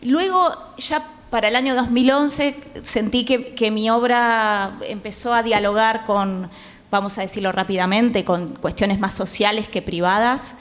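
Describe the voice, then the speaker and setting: Reflective Argentine woman with a medium pitch. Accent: Rioplatense Argentine. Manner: halting, reflective, pausing often. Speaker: panel guest, playwright. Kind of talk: reflective panel talk. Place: theatre, live conversation.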